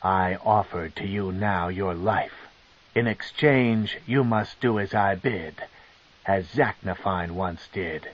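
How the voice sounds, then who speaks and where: A man reading as a cold scheming dark elf matriarch. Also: low-pitched. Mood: commanding. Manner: slow, commanding, sneering. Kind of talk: audiobook character reading. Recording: dull lo-fi tape.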